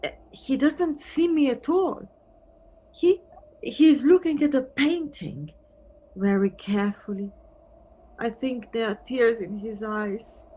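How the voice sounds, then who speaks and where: An actress playing a wry, wistful married police detective from Antwerp in an audio play, musing conversationally. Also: female voice, on the high side, warm tone. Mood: astonished, tender. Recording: noisy recording with background ambience.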